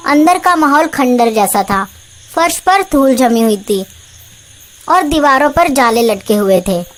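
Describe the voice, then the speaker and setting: High-pitched woman narrating horror tales. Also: animated, a little slow. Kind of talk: scripted horror story narration.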